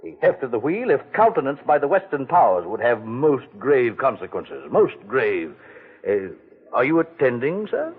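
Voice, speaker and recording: An actor playing a fat, scheming older gentleman villain, pompous and unctuous, in a 1940s radio drama on a tinny old broadcast. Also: medium pitch, sing-song, moderate pace, slightly rough.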